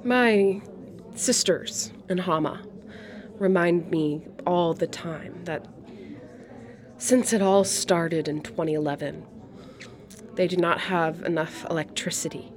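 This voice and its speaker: An actress playing a proud, wistful Syrian refugee woman in her sixties, a retired art teacher chatting reflectively in a dramatic monologue. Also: lowish voice. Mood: wistful, sad. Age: 60s. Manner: reflective, conversational, pausing between phrases. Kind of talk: dramatic monologue as intimate coffee shop chat. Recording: coffee shop chatter behind the voice. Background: cafe crowd chatter.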